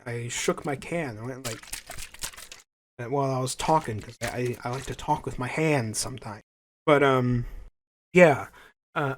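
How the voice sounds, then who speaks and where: A young man with a slightly higher voice hosts his own podcast, talking casually to listeners. His nose is stuffy from a cold, and he rambles, repeating phrases and correcting himself as he goes. The sound is dry and close on a home microphone. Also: a little mellow, dry recording. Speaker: podcast host. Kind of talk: solo casual podcast chat.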